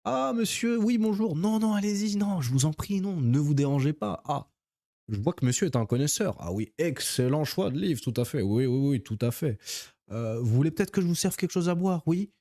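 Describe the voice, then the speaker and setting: A man's voice: fairly high pitch, animated, moderate pace, warm tone, pitched above the performer's own voice. A man voicing an overly polite older male homeowner, eager to serve his guest. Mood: fawning.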